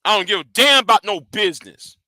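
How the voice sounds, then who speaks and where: A man with a high voice and a hard, sharp edge, ranting in a commentary vlog. He swears freely, stumbles over repeated words and talks straight at his critics. The sound is dry and close. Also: animated, brisk, dry recording. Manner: confrontational and profane, repeats and restarts words; challenging his audience directly. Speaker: YouTube commentator.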